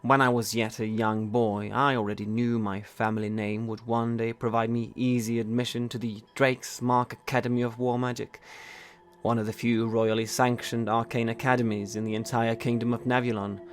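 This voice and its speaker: A man narrating his character's backstory in a measured storytelling way. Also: mid-range voice, little pitch movement. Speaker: podcast host and player. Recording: faint music behind.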